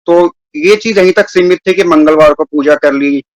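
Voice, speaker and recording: A man from New Delhi talks about his life in an earnest, rambling way, mixing English words into his speech. His voice sits a little high, and the sound is close and dry. He is a guest on a video call. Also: normal speed, no echo, poor audio. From New Delhi, India. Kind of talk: personal testimony on a video call.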